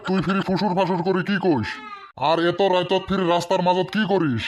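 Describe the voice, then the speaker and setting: Man voicing a suspicious high-voiced cartoon policeman. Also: fairly even intonation, brisk, slight background noise, lightly acted. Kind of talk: comic cartoon dialogue.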